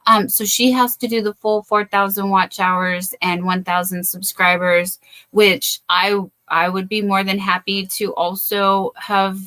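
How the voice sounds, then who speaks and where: A woman's voice: medium pitch, little pitch movement, unhurried, bright, forceful tone, low-quality recording. A woman hosting a casual crochet live stream from the United States, bubbly and chatty, thanking viewers by name.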